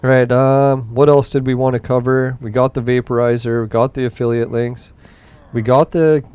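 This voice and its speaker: A man's voice: medium pitch, fairly even intonation, normal speed, darkish tone, smooth, no room sound. A young man hosting a gaming podcast, rambling casually and swearing while he plays, his voice tiring late at night. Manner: rambling, profane, laid-back. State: voice getting tired late at night. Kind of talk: casual gameplay commentary.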